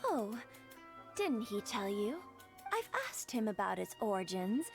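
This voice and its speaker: A woman's voice: mid-range voice, big pitch swings, unhurried, vocal fry, over music. An actress voicing a polite, composed woman merchant in a video game, explaining things in formal wording. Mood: polite, knowing. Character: polite, composed woman merchant who shares information knowingly.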